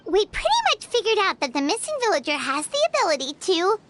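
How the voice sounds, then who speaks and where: An actress voicing a small, excitable floating female companion creature in a game, bubbly and exclamatory. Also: extremely high pitch, noisy background, full character voice. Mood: excited. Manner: bubbly, exclamatory, quick to jump to conclusions. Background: game background music.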